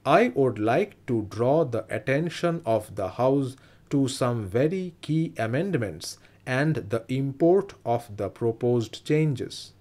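A man with an Indian English accent reading a parliamentary speech aloud as slow dictation, in measured, evenly spaced phrases. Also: mid-range voice, lively intonation, a little mellow. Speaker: stenography dictation reader.

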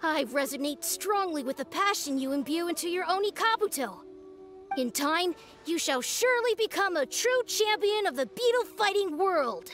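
A woman's voice: extremely high pitch, lively intonation, normal speed, fairly bright tone, soft background music. A woman voicing a solemn, proud little boy grandmaster in a video game, formal and grandiose. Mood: admiring.

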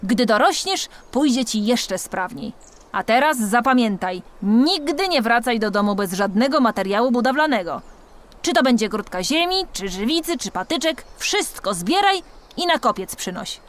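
Woman as a firm, animated old mentor ant. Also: on the high side, normal speed, close to an everyday voice. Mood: encouraging, instructive. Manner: firm, lecturing, motherly. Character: stern, kindly old female worker ant, instructive mentor. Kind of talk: children's storybook character voice.

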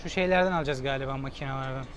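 A man's voice: medium pitch, racing. A man narrating a casual travel vlog, thinking aloud and chatting with his companion as he buys a metro token. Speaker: travel vlogger. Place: metro station and train, indoors. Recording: noisy public space.

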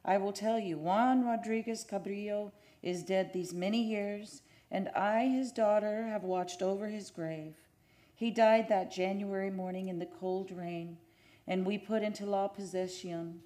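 A woman's voice: medium pitch, steady tone, unhurried, dark tone, close to an everyday voice. Woman acting a solemn, lovelorn Spanish ghost. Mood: mournful. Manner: solemn, archaic, declamatory phrasing. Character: lovelorn ghost of a Spanish sea captain's daughter. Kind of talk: old-time radio drama acting.

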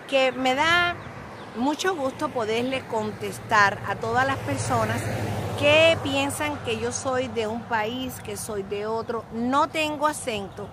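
High-pitched theatrical Cuban-born woman vlogging. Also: background noise. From Cuba. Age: middle-aged. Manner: theatrical, self-praising, sing-song. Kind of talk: casual self-filmed vlog. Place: outdoors, by a grassy lot. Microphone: phone at arm's length.

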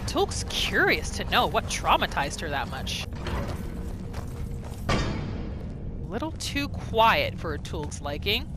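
A woman's voice: lowish voice, big pitch swings, slow, pauses often, loud music behind the voice. A woman giving reactive gameplay commentary, exclaiming and thinking aloud as she plays through a roleplay. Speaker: gamer narrating a roleplay playthrough.

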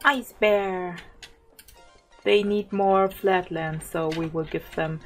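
A woman's voice: fairly low pitch, steady tone, slow, soft background music. A German woman with a sore throat, casually commenting on a zoo game, thinking aloud with fillers and small laughs. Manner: soft-spoken, thinks aloud with many fillers and little laughs. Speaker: gaming channel host.

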